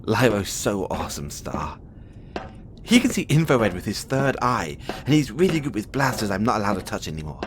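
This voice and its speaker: A man's voice: on the low side, big pitch swings, moderate pace, slight background noise. A man voicing a playful, flirtatious male space demon who teases the listener in an audio roleplay. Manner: playful, teasing, giggles often.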